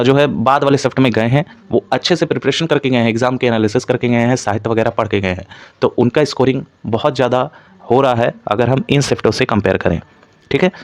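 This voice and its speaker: A man giving an informal analytical lecture as a coaching instructor, mixing in English terms and repeating points as he explains. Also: mid-range voice. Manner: Hindi mixed with frequent English terms.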